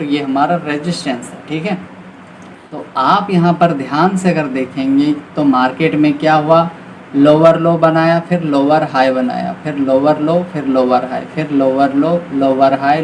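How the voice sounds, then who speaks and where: A man with an Indian accent giving an informal, explanatory beginners' lesson as a trading tutor, often checking in with short confirmations. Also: fairly high pitch. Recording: clearly noisy recording with background ambience.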